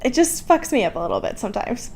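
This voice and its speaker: A woman's voice: on the high side, very animated, quick pace, creaky, a little mellow, slightly rough. A woman of twenty-three co-hosting a podcast in casual book chat, informal and wry, poking fun at herself and swearing casually. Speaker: podcast co-host. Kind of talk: casual chatty book discussion.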